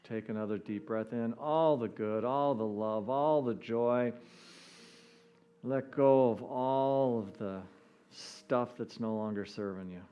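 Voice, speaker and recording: A man leading a prayerful guided meditation in soothing phrases with long pauses between them. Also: mid-range voice, slight background noise. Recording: dull lo-fi audio under music. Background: meditation music.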